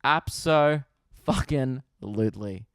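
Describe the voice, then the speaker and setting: Australian male comedy podcast host, close mic, smooth clear voice, loose and sweary, cracks himself up. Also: medium pitch, takes it slowly, fairly bright tone, no echo. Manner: riffing, swears freely, laughs at his own jokes. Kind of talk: casual solo podcast chat.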